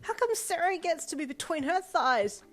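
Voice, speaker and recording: A woman voicing a shrill lecherous teen boy. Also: lively intonation, normal speed, fairly bright tone, faint music behind. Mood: wheedling whine. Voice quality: high-pitched screeching. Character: lecherous shrill adolescent boy, frantic and pleading.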